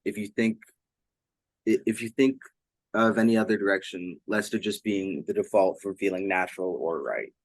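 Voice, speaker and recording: A man's voice: low voice, fairly even intonation, moderate pace, dry recording. A man interviewing someone casually and reading out fan questions.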